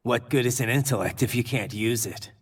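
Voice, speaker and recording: A man voicing a gruff, jaded cyborg warrior in a video game, raspy and terse with dry sarcasm. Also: medium pitch, brisk, big-room sound, natural voice. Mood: sardonic. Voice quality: gravelly, raspy. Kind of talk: dramatic video game voice acting.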